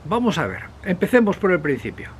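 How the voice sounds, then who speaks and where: A man from Spain presenting a history video in an unscripted, conversational way, rambling and hesitant, with pauses, digressions and self-corrections. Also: high-pitched. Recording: clear steady background noise.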